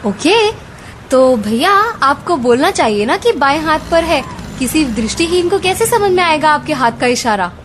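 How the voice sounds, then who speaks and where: Radio play: confident young blind Indian woman, high-pitched, explanatory, over noisy background ambience. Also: sing-song, normal speed. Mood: mildly scolding. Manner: explanatory, self-assured, mixes English into speech. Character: confident, cheerful, independent blind young woman guiding her friend. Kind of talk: radio drama dialogue.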